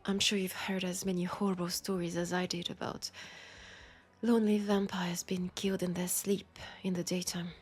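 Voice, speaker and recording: Breathy French-accented vampire woman, played by an actress. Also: lowish voice, little pitch movement. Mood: intimate, seductive. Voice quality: soft, breathy, often dropping to a whisper. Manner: unhurried, confiding, pausing between phrases. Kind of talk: intimate roleplay audio drama monologue.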